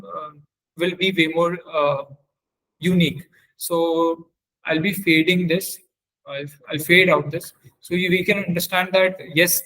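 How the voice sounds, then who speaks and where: A man teaching in a webinar, demonstrating software step by step and often halting to search for words. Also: sits high, rough recording. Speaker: webinar trainer.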